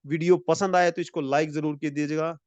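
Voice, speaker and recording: A man teaching an exam-prep lecture in a friendly way. He mixes in English and reads the English lines slowly. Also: fairly high pitch, fairly even intonation, no echo. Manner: friendly, calls viewers friends.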